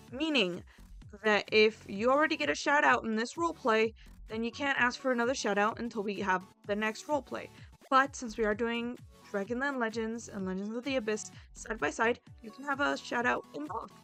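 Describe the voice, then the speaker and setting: A woman with a fairly high voice talks casually to her viewers as a video creator. Her delivery is informal and chatty, like an end-of-video update. Soft music plays behind her. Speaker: roleplay series host and video creator. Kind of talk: casual YouTube outro announcements, chatting to viewers. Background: background music.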